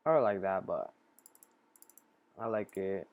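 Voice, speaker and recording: A man casually talking through a software tutorial in a halting, improvised way, step by step. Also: low-pitched, animated, narrow, tinny audio, slight background noise. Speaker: tutorial host.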